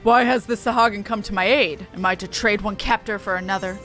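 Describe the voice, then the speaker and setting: A haughty, demanding noble captive from a video game, acted out by a woman. The delivery is formal and pompous, indignant at every slight, with a bright, sharp tone at mid pitch. Faint music runs underneath. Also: fairly even intonation, brisk, natural voice. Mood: wary, haughty. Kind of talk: video game dialogue read aloud.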